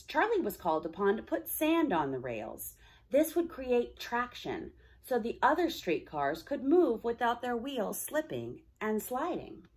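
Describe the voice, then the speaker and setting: A woman's voice: on the high side, husky. A woman narrating a children's storybook with dramatic emphasis on key words. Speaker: storybook reader.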